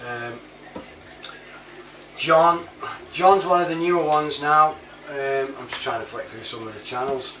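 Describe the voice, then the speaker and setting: A man's voice: on the high side, measured pace, long pauses. British man, casual matey monologue, informal slang, roomy echo, distant from the mic. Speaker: online reviewer and CB chat app user. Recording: roomy, distant mic, clear background noise.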